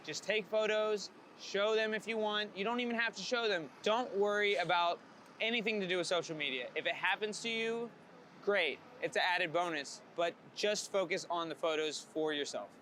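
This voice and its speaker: High voiced guy, super upbeat and chatty, out on a city street w traffic noise behind him. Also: moderate pace. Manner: upbeat, energetic, chatty, swears casually. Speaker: street photographer being filmed at work. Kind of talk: casual candid talk. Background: city ambience and vehicles.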